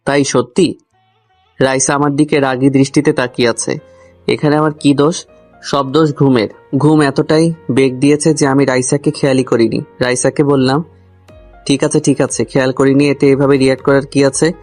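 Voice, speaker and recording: A man's voice: mid-range voice, little pitch movement, moderate pace, a little mellow. A man narrating a comic love story in the first person, grumbling and talking straight to the listener. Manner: grumbling, addresses the listener directly. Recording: music under the voice. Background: background music.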